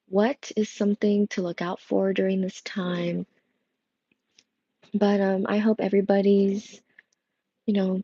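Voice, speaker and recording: Young woman tarot reader, worn voice, unhurried rambling chat, gravelly edge. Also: mid-range voice, dark tone, no room sound, dull audio. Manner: rambling, many fillers and restarts. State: voice strained and worn. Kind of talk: casual livestream chat. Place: home room with coffee machine. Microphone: earbud headset mic.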